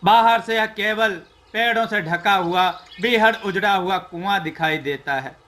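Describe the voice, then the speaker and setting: A man narrating a scripted folk tale in a measured, declamatory storyteller's way. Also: high voice, sharp tone.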